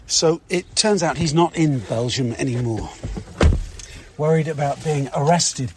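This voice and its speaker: A man's voice: a little high, unhurried. A man reporting on location, speaking to the microphone while on the move in a vehicle. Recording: noisy car interior ambience.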